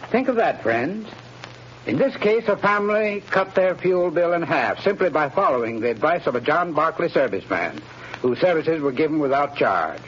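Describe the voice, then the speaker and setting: A man's voice: on the high side, lively intonation, moderate pace, a bit bright, a little hiss or hum. A man reading a scripted sponsor's message on a 1930s radio show in an earnest, friendly salesman's delivery. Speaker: sponsor's spokesman. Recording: muffled 1930s radio broadcast.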